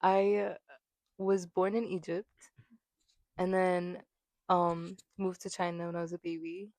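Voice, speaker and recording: A young woman in her twenties with a lowish voice talks in a loose, chatty way, full of fillers and little laughs. She is close to a small desk mic, and the sound is dry with no room echo. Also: little pitch movement, unhurried, darkish tone. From New York. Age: 20s. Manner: chatty, giggly, many fillers. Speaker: podcast co-host. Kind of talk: casual chat.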